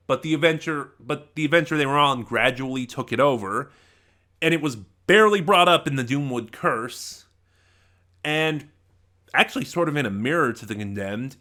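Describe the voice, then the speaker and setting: A man giving a casual, rambling review, halting and correcting himself, with deliberate emphasis on key words. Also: mid-range voice, sharp tone. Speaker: audio drama reviewer.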